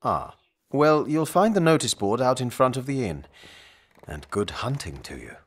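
An actor playing an officious, haughty royal castellan in a video game, with a pompous bureaucratic manner. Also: male voice, medium pitch, sing-song, moderate pace, husky. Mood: curt, grudging. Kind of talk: video game character acting.

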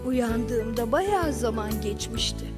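High-voiced, kindly old grandmother. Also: expressive, slow pace. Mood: musing, recounting. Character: kindly, forgetful elderly grandmother. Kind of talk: children's cartoon dialogue. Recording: loud music behind.

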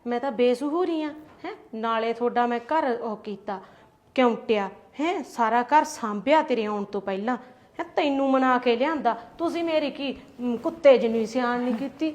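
A woman's voice: very high voice, moderate pace, a bit bright. A woman playing a taunting aunt. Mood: sulky. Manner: taunting, complaining, rhetorical questions. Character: aggrieved Punjabi aunt, taunting and sulky. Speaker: sister-in-law of the mother. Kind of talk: family skit acting.

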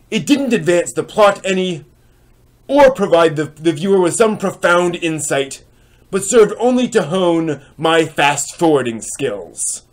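A middle-aged man hosting and giving a dramatic reading with commentary, using expressive, theatrical emphasis. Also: high-pitched. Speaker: host and reader. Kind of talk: dramatic reading of old online posts with explanatory commentary. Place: small home room with bookshelves, indoors.

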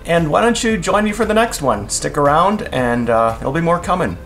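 Higher-pitched middle-aged man, casual vlogger. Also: lively intonation, brisk, a bit bright, soft background music. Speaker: vlog host. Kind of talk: casual travel vlog chat. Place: living room, inside a moving car, and outdoors by roads and shops.

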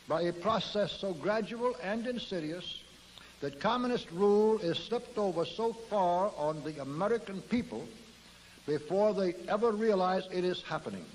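An older man delivering a formal prepared speech from a podium to an audience, in measured oratory, counting off his points one by one. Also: sits high, slight background noise. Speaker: speaker addressing an audience. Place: large hall, at a podium. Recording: old archival recording in a reverberant hall.

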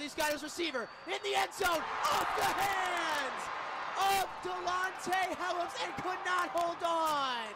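An American man calling football live on the radio as play-by-play announcer, with excitable, emphatic delivery. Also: very high voice, noisy background. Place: football stadium broadcast booth.

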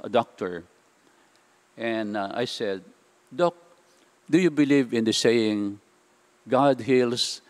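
An older man with a Filipino accent, a priest giving a homily in a large church through a lectern stand mic. He talks in a slow, halting way full of "uh" fillers and slips between English and Tagalog. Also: medium pitch. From Philippines. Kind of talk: sermon.

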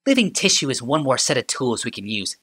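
A sardonic, morbid female inventor, as a male reader puts her on, speaking in a calm, almost conversational way. Her pitch climbs and swoops with wide, restless movement. The tone stays bright and clear throughout the audiobook reading. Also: a little fast. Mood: musing.

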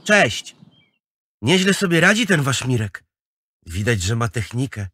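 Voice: mid-range voice, sing-song, unhurried, a bit bright, slightly rough, exaggerated voice. A performer playing a proud, sulky young schoolboy athlete in a children's audio drama, defensive and prone to stammer. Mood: boastful. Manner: defensive, stammering when flustered.

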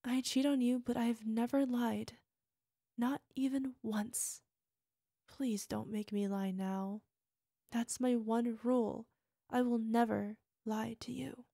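A woman's voice: a little high, fairly even intonation, slow pace, creaky, dry recording, clean studio sound, heavily acted. A woman playing a volatile, bitter girlfriend. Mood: bitter, hushed. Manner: hushed whispers that flare into swearing shouts. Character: bitter, volatile young woman tearing into her partner. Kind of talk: audio roleplay monologue.

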